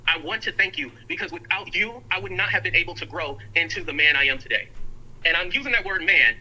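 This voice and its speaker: A man in his thirties talking casually and warmly to his stream viewers, heard as a clip played from a distance. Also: high voice. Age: 30s. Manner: fast, casual, heartfelt. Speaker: YouTube streamer. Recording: tinny clip played back from afar.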